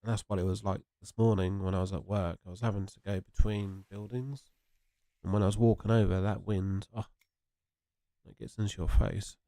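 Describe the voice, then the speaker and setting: Gravelly, congested man. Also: on the low side, little pitch movement, moderate pace, mellow, no echo. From England. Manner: rambling and chatty, answering viewers. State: congested, sniffly. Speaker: gaming streamer. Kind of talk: casual livestream chat while playing.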